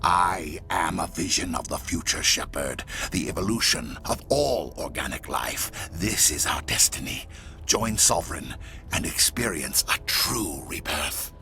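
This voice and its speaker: A grave indoctrinated cyborg bad guy from a sci-fi game, sharp gravelly edge, big dramatic swings. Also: low voice, expressive, measured pace, heavily acted. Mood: fanatical, grandiose. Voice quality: gravelly, menacing. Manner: grandiose, dramatic delivery. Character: indoctrinated cybernetic villain, proud yet tormented, grave. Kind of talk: video game cutscene acting.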